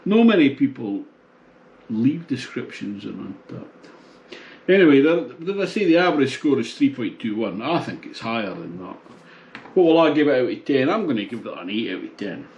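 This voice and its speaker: A man in his sixties casually reviewing a beer at home, thinking aloud in a relaxed, musing way with pauses. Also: medium pitch, vocal fry, muffled recording. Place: small home bar room, indoors.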